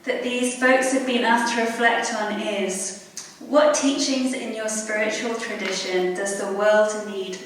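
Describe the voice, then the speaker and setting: A woman moderates an event and introduces each speaker in turn at a measured pace. Her voice comes from far off in a large echoing hall with a long reverb tail. Faint noise sits behind her. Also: medium pitch.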